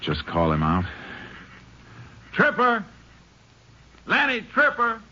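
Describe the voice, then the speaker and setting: An American actor in a 1950s radio drama playing a steady, terse, authoritative Texas Ranger who also narrates the manhunt. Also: male voice, high voice, takes it slowly, full character voice. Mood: shouting, commanding. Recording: muffled, noisy 1950s radio recording.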